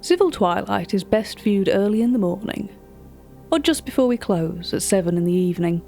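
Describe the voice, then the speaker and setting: An actress playing a chatty, wry British gallery writer, telling a story directly to a listener in audio drama narration. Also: female voice, mid-range voice, expressive, normal speed, vocal fry, warm tone, faint music behind. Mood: hushed, ominous.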